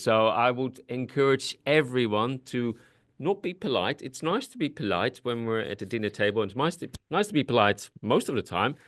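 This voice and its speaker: A man's voice: mid-range voice, no echo, clean studio sound. A man from the Netherlands with a Dutch accent, presenting in a webinar in a direct, earnest way with frequent restarts.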